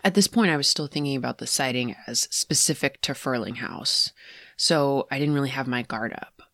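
An actress playing a rattled, analytical woman investigator who haltingly recounts eerie sightings in a confessional horror audio drama. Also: a bass voice, bright, forceful tone, no echo, clean studio sound, exaggerated voice. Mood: reflective, uneasy. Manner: halting, hesitant, self-correcting.

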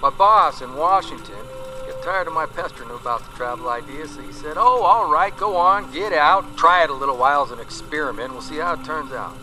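An actor playing a wry 1930s travel columnist, musing aloud in an audio drama. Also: male voice, on the high side, expressive, fairly quick, bright, forceful tone, low-quality recording.

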